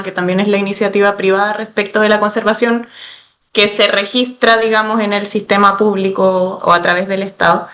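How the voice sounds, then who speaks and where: A woman's voice: medium pitch, fairly bright tone. Chilean woman, workshop participant, hesitant, searching for words. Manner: hesitant, many fillers, careful wording. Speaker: workshop participant asking a question. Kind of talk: question in an online workshop.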